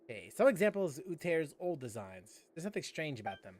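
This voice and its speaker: A man's voice: fairly high pitch, sing-song, brisk, background noise. A man hosting a let's-play, commenting on the game in a sarcastic, incredulous way. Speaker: gaming channel host.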